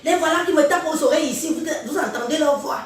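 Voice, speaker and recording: A woman's voice: high-pitched, fast. A woman from Cameroon with a head cold, giving a scornful, preachy live-stream rant that addresses her listeners directly. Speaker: social media live streamer. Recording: very echoey room, far from mic.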